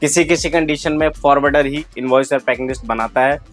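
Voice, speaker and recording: A man's voice: mid-range voice, fairly quick, soft background music. A man with an Indian accent giving a step-by-step instructional tutorial as a trainer, dropping English trade terms into his explanations. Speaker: freight forwarding trainer.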